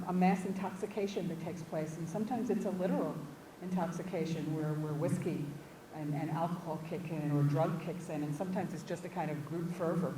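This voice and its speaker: A woman guest speaker thinking aloud in an informal academic discussion, halting and hedging as she repeats words. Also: sits low. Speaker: visiting guest speaker answering audience questions. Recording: echoey room, distant mic, clear background noise.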